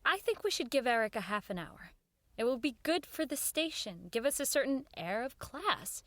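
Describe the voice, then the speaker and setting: A woman's voice: high voice, very animated, normal speed, a bit bright, no room sound. An actress playing an idealistic, determined woman who runs a 1940s radio network, speaking in an earnest, dignified, persuasive way in an audio drama. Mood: eager, persuasive. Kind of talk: audio drama acting, dialogue and wistful first-person narration.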